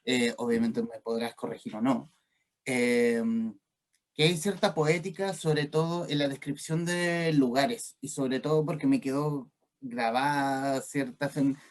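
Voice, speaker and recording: A man's voice: mid-range voice, a little slow. A man with a Chilean accent hosting a conversational interview, hesitant and searching for his words. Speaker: interviewer, host.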